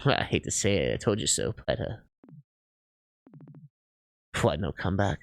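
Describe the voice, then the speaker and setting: A man's voice: sits low, expressive, measured pace, vocal fry, voice pushed down, heavily acted. A man voicing a smug, teasing rival in a fantasy story, with a drawling, taunting manner.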